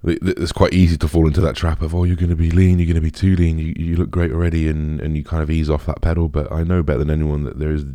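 A young British man with a deep, raspy voice, chatting casually as a podcast host in a rambling, informal way. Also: level tone, quick pace, few pauses, warm tone, no echo, clean studio sound. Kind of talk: casual solo podcast chat.

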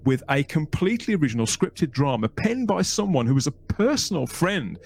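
A man's voice: fairly high pitch, sing-song, fairly quick, creaky, a little mellow, a little hiss or hum. A man with a Birmingham accent hosting a podcast, reading a playful, chatty intro and poking fun at himself. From England. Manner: playful, chatty, self-mocking. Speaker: podcast host.

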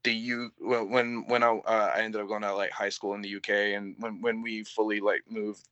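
Young man, mixed English-Canadian accent, lowish voice, bright and crisp, halting with lots of fillers, dry slightly dull lo-fi audio. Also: level tone, dry recording. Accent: mix of English and Canadian. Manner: halting, heavy use of "like", swears casually. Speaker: band vocalist, guest. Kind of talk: casual interview chat.

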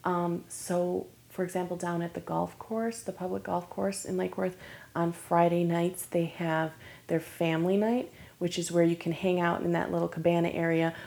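A woman's voice: lowish voice, steady tone, normal speed, vocal fry, mellow. A woman in her thirties, originally from Michigan, chatting as a guest on a podcast in an upbeat way and laughing often. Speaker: guest musician. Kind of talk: casual interview chat.

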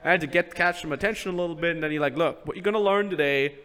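A man hosting a podcast, giving casual business advice step by step. He keeps acting out sample sales pitches and checking in with "right?". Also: rapid-fire, sharp tone, clean tone. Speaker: business coach host.

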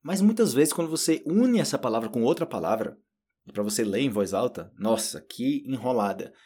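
A man from Brazil with a Brazilian Portuguese accent, giving a casual spoken lesson and slowly repeating phrases to demonstrate. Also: mid-range voice, expressive, mellow, slightly rough. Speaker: Portuguese teacher.

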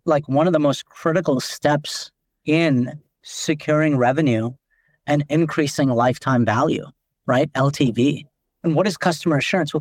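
Nepali-born founder, dry close sound. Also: male voice, a little high, no room sound. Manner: frequent "like" fillers, explanatory. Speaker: company founder and CEO, guest. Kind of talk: business podcast interview.

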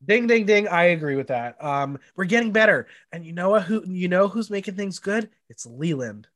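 A congested man hosting a podcast, chatty and animated, swearing casually. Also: high voice, moderate pace. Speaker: podcast host.

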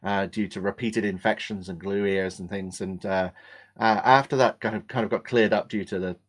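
English voice actor guest, slightly low-pitched, easygoing storyteller in interview. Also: male voice, fairly even intonation, moderate pace. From England. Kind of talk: relaxed interview answers.

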